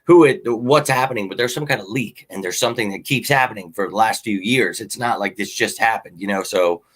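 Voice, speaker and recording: A man with a fairly low, slightly hoarse voice talks sports in a casual, rambling way. He hosts a fan show and drifts between opinions with plenty of ums and uhs. He sounds like a fan, opinionated and unpolished. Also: fairly quick, fairly bright tone. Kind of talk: casual sports talk with viewer comments.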